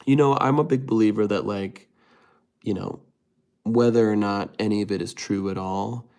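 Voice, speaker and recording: Low, gravelly-voiced man chatting on stream. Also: fairly even intonation, moderate pace, darkish tone. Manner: rambling, many fillers and restarts. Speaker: livestream host reading chat. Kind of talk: casual livestream chat.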